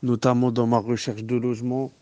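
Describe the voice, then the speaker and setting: A delivery man speaks at an ordinary middle pitch. He recounts his work in a measured way, hesitating often with fillers. His voice is plain and everyday. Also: little pitch movement, mellow. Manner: measured, many fillers and restarts. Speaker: pizza delivery driver. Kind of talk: personal testimony.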